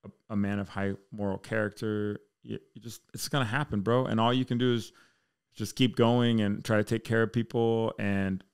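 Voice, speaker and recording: A man's voice: on the low side, fairly even intonation, moderate pace, gravelly, clean tone. An American man chatting casually and candidly as a podcast guest, with slangy, bro-heavy speech. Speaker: podcast guest, military fire chief.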